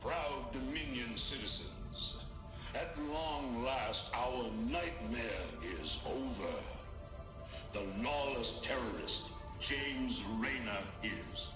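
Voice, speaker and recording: An actor plays a tyrannical man ruling a sci-fi empire, delivering a pompous game-cinematic address. He speaks extremely slowly, in measured oratory at a middling pitch. The voice echoes with loud music underneath. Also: close to an everyday voice. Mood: triumphant, smug. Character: pompous, tyrannical male emperor addressing his subjects. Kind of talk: scripted political address in a video game.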